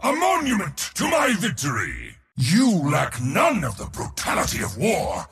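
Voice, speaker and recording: A swaggering god of war from a video game, a man hungry for battle and very pleased with himself. His fairly high male voice has a gravelly edge and swings hugely in pitch. The delivery is grand, boastful and theatrical. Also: wildly swooping pitch, unhurried, a bit bright, shouting, in a large hall, heavily acted. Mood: contemptuous, gloating. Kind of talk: video game character voice lines.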